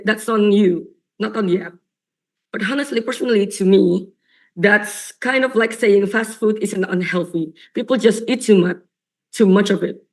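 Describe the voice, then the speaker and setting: A young woman delivers a competitive debate speech, her voice raspy from a coughing fit. She argues earnestly and emphatically, circling back and repeating phrases for stress. Her pitch sits in an ordinary middle range. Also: rough recording. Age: young adult. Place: online or stage debate venue.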